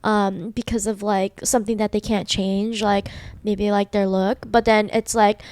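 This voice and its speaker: A young woman with a high voice co-hosting a podcast, chatting casually and rambling, filling her talk with "like.". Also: flat delivery, unhurried, a little mellow, no echo. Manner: rambling, heavy use of "like". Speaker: podcast co-host. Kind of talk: casual chat.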